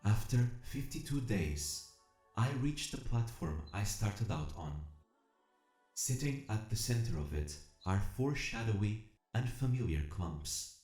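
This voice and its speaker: A man's voice: on the low side, expressive, measured pace, a little mellow, roomy sound. A man playing an earnest, determined, calculating combat robot, narrating an audio drama in the first person with formal, precise diction. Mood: earnest determination. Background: music.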